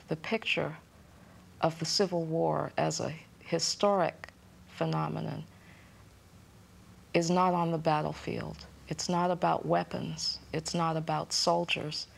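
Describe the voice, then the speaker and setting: A woman in her forties speaks thoughtfully in a documentary interview. Her voice is low with heavy vocal fry, and she builds her sentences deliberately, piece by piece. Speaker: historian, interviewee. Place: indoor room.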